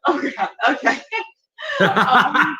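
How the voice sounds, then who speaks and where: A woman hosting a casual interview, chatting in an enthusiastic, expressive way. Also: high voice, takes it slowly. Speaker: show host, interviewer. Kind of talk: casual chat. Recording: distant from the mic.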